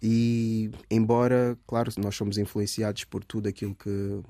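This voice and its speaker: A man's voice: lowish voice, flat delivery, moderate pace, warm tone, dry recording. Brief: young Brazilian-born male dancer, mixed Brazilian and European Portuguese accent, hesitant and rambling, dry close sound. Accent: mix of Brazilian and European Portuguese. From Brazil (Minas Gerais). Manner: rambling, hesitant, many fillers. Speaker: guest, dancer. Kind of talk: casual radio interview.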